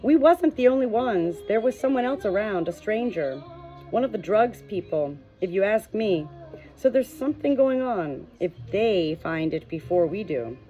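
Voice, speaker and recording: A woman's voice: medium pitch, lively intonation, moderate pace, mellow, soft background music, voice pushed up, natural voice, poor audio. A woman voicing a nervous, eager-to-please male pub landlord in an audiobook, chattering in colloquial speech. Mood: nervous, confiding. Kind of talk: audiobook character dialogue.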